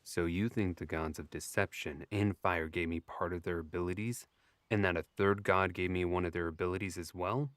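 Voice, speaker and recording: A young elf lord played by a man speaks in a very deep voice, dry and sardonic. He sounds abrasive and aristocratic, in a close, dry audio drama recording. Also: fairly even intonation, moderate pace, a bit bright, dry recording. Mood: questioning. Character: wry, abrasive young elf noble, secretly divine.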